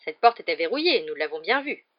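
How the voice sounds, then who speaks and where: Une femme qui interprète dans un livre audio un ministre contrarié, soucieux, prompt à protester. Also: female voice, on the low side, sing-song, quick pace, muffled recording. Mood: irritated. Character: flustered, irritated male government minister. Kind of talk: acted audiobook dialogue.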